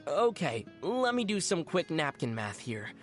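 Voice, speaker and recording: A woman voicing a calm, sensible young female adventurer in a video game, in a wry, measured and thoughtful way. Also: low voice, soft background music. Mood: matter-of-fact.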